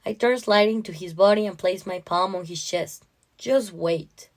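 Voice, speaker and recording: A woman narrating a first-person literary story in an expressive, dramatic way. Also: medium pitch, normal speed, faint music behind.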